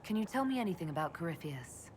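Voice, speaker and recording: An actress playing a composed, inquisitive leader of an order in a video game, earnest and level-headed. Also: female voice, fairly low pitch, sing-song, fast talker, a little mellow, slight background noise. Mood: composed, curious.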